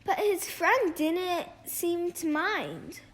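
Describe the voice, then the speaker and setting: High-pitched, slow, halting female storybook reader. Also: lively intonation. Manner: halting, stumbles over words and rereads phrases. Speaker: reader of a children's picture book. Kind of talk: storybook read-aloud.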